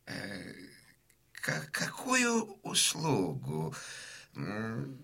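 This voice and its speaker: Radio play role: obsequious, anxious innkeeper, high sharp voice, wailing exclamations, swooping wildly. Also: male voice, huge pitch swings, drawn-out words, gravelly, slightly rough, heavily acted. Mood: wary, alarmed. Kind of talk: radio drama acting.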